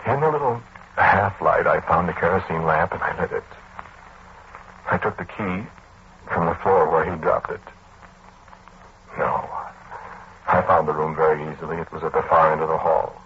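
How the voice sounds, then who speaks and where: An actor playing a haunted, earnest man who recounts a dark tale in old-time radio style, with dramatic, broken phrasing. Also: low voice, normal speed, gravelly, long pauses. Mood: grave, shaken. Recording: muffled, hissy old radio recording.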